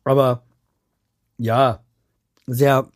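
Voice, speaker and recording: A man's voice: mid-range voice, level tone, extremely slow, no echo, clean studio sound. A man from the Hanau area, chatting casually to his viewers at home in a rambling way, trailing off and restarting with many fillers. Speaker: vlogger. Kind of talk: casual chat to camera.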